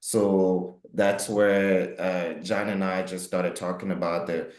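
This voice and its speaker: A man's voice: low voice, flat delivery, slow, darkish tone, reverberant. A man from Cameroon, co-founder of a housing company, answering interview questions with many fillers and restarts.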